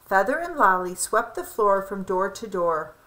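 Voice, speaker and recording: A woman reading a children's picture book aloud in an expressive, emphatic and deliberate way. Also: mid-range voice, poor audio. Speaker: storybook reader.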